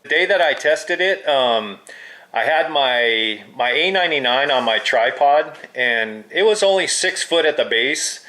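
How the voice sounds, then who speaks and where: A man's voice: mid-range voice, animated, a little slow, bright, forceful tone. A man having a casual hobbyist chat as a radio operator, heard through a two-way radio. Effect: two-way radio transmission. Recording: crackly two-way radio audio.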